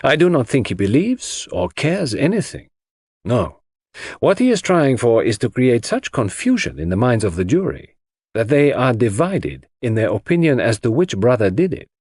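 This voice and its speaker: A shrewd, unhurried detective acted by an audiobook narrator, a man. He reasons deliberately and analytically in a husky voice with lively swings of pitch. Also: dry recording, clean studio sound. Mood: shrewd, musing.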